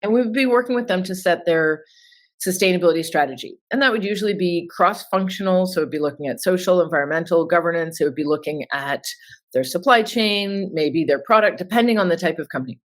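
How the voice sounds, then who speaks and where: A woman's voice: on the low side, quick pace. A woman in her forties from Toronto, giving chatty, informal answers as an interview guest over a remote call. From Toronto, Canada. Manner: chatty and informal, with filler words. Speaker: interview guest, sustainability consultant.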